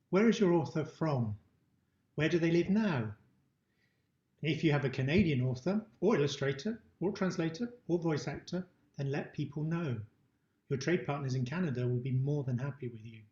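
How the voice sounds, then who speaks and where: Middle-aged man, instructional presenter, soft-edged warm tone, muffled roomy recording. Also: a little high, lively intonation, normal speed. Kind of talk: prepared instructional webinar presentation. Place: indoor room. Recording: dull, roomy webcam-style recording.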